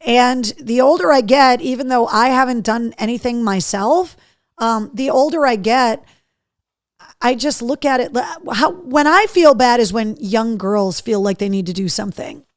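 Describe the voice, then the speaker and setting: A middle-aged woman hosting a live show, chatting casually and candidly with viewers in a slightly hoarse voice, with a cheeky streak. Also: a little high, moderate pace, no room sound, clean studio sound. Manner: candid, cheeky, mildly sweary. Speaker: podcast and livestream host. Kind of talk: casual live chat to viewers, reading out comments.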